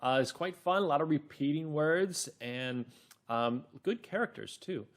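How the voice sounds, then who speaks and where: A man hosting a children's read-along lesson, narrating and explaining words with careful stress, in an animated way. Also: fairly high pitch, a little slow. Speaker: teacher, reading-lesson host.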